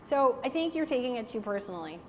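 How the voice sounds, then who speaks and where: Animated woman speaker in a reverberant hall. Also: fairly high pitch, fast. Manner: candid, joking, animated, frequent "like". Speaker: networking speaker. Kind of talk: lively talk to an audience, taking questions. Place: room with a live audience. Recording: hall reverb, faint background noise.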